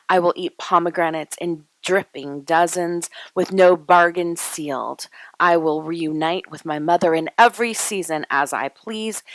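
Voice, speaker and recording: Woman performing spoken-word poetry, lower-pitched, dry sound. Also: no room sound, rough recording. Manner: deliberate, emphatic, declarative phrasing with pauses. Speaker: poet.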